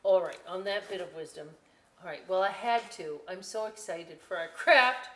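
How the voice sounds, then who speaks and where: A woman hosting a children's storytime and craft session, chatty and expressive, speaking directly to young listeners. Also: on the low side, normal speed. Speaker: library storytime host. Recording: echoey room.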